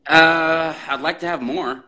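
A man's voice: a little high, steady tone, measured pace, bright, forceful tone. A young man from Saudi Arabia with an American accent, chatting in an easygoing, friendly way with a streamer over a video call. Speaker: viewer calling in. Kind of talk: casual chat on a video call.

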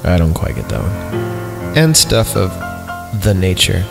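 A man with a low, gravelly voice reads a horror story as a comedy podcast host. He is irreverent and casual, stepping out of the narration to comment on it. Music plays behind his voice. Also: wildly swooping pitch, takes it slowly. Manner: irreverent, mock-disgusted, often breaks off to comment. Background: background music.

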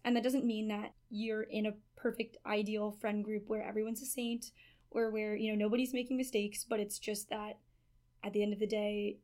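A twenty-year-old woman talks in an earnest, thoughtful way while answering interview questions. She is a student. Her voice has an ordinary middle pitch. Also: a little mellow. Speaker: theology student.

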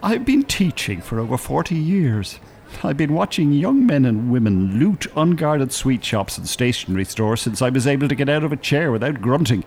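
Prim, sardonic older man, lively intonation. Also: medium pitch, moderate pace, slight background noise. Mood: scornful. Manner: precise, formal wording, dry. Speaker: actor in an audio drama. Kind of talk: radio drama dialogue.

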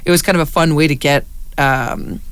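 Loud deep-voiced woman, chatty with fillers. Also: fairly even intonation, normal speed, clear, resonant tone, no room sound. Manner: loud talker with many fillers and restarts. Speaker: community radio station staff. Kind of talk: casual radio conversation. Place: radio studio.

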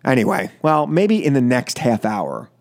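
A man's voice: mid-range voice, huge pitch swings, normal speed, a little mellow. An American man hosting political talk radio, delivering an opinionated, combative monologue and repeating phrases for emphasis.

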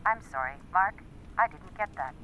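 Casting: blandly polite digital phone assistant, crisp bright voice, audio drama. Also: female voice, mid-range voice, expressive, moderate pace, dull audio, faint music behind.

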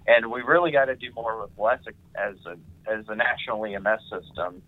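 A man's voice: fairly low pitch, fairly quick, dry recording. A man hosting a casual podcast conversation, speaking earnestly and affirming the others with frequent "mm-hmm"s. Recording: tinny phone-like sound.

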